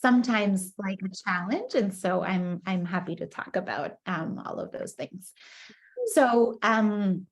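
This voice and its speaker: A woman's voice: medium pitch, measured pace. Woman lecturing on a video call. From Costa Rica. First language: Spanish. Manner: friendly, many ums and restarts. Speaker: guest speaker, researcher. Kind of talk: casual online lecture. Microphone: laptop mic on video call.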